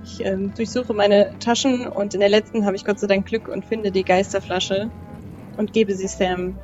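A woman's voice: mid-range voice, fairly even intonation, normal speed, a little mellow, faint music behind. Chatty woman player, slightly dull recording. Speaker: guest player in role-playing game. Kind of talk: casual improvised role-play chat.

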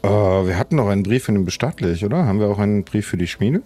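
A man's voice: lowish voice, expressive, fast, dark tone. A man playing along in an improvised audio adventure, speaking casually in character. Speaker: player in an improvised audio play. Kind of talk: casual improvised role-play.

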